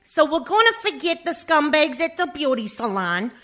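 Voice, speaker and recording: Woman as a brash, high-voiced mob wife. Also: big pitch swings, normal speed, a bit bright. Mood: coaxing. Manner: scolding, blunt. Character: brash, sarcastic mobster's wife and mother. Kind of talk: comedic radio play acting.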